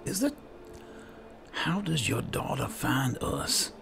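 A man's voice: medium pitch, big pitch swings, slow pace, vocal fry. A man casually commentating on a video game, roleplaying and musing aloud to himself. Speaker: gaming host doing roleplay playthrough.

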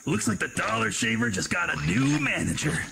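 A man voicing a cocky, juvenile action hero in a comedic video game, with a gravelly voice and crude trash-talk. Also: high-pitched, wildly swooping pitch, brisk, heavy background noise, heavily acted.

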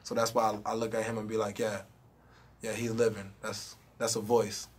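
A man's voice: lowish voice, level tone, normal speed, husky. A young man, a rapper, chatting casually in an interview with a handheld mic in a studio room, laid-back and full of "you know what I mean". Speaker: rapper guest.

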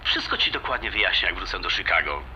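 A man's voice: low voice, very animated, fast, sharp tone, a little hiss or hum, exaggerated voice. A man playing an enthusiastic, romantic suitor in an audio drama, talking colloquially with bits of English mixed in. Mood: persuasive. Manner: eager, colloquial, mixes in English words. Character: enthusiastic, romantic suitor Jasiek, sure fate joins him.

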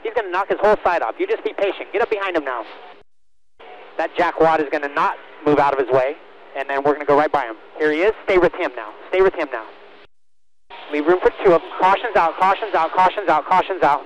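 High-pitched male race spotter on noisy radio. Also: fast talker. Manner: repeats short clipped commands. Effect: team radio. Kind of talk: urgent radio spotting calls to a driver.

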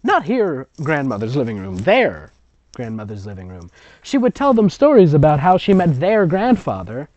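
Casting: sarcastic story reader-commentator, rambling and mocking, lively swooping delivery, dull dry recording. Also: mid-range voice, moderate pace, no echo. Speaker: fan fiction reader and commentator. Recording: dull lo-fi audio, close and dry.

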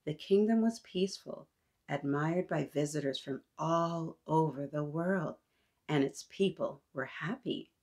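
A woman's voice: deep voice, measured pace, darkish tone. A woman in her thirties hosting a children's storytime, reading aloud expressively and chatting with young listeners as if they answer. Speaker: children's storytime host and reader.

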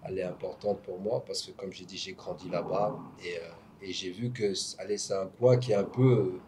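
A young man who grew up in Belgium, talking as a guest in an interview, halting and peppered with fillers, seated indoors at a table. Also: low voice, steady tone, noisy background. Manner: hesitant, restarts often, adds "tu vois". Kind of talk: casual interview talk. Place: hotel lounge, indoors.

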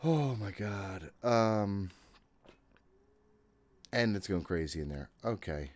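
Deep-voiced man, jittery game commentary. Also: lively intonation, slow pace. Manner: jittery, exasperated self-talk; frequent swearing. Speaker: game streamer. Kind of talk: casual horror game commentary. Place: small home room, indoors. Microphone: desk microphone, headset worn.

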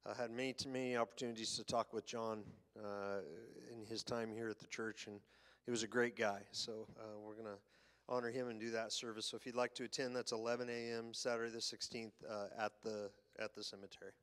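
A man pastor giving church announcements from a stage, halting with many fillers. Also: fairly low pitch, level tone, a bit bright, smooth. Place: church stage, large room. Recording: long hall reverb.

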